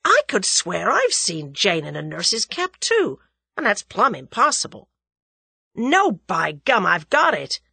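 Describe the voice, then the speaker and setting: A woman voicing an impulsive, blustering young American man who talks loudly in slang. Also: medium pitch, slow, gravelly, husky, no room sound, exaggerated voice. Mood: agitated. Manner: loud, slangy, excitable.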